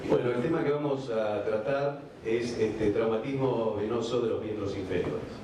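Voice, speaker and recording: Argentine guy giving a medical talk, measured with lots of "eh"s, super echoey hall, mic far off. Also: mid-range voice. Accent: Argentine Spanish. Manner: measured, with frequent hesitation fillers. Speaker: vascular surgeon giving a conference talk. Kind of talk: medical conference lecture. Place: large conference hall. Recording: echoey hall recording from afar, background noise.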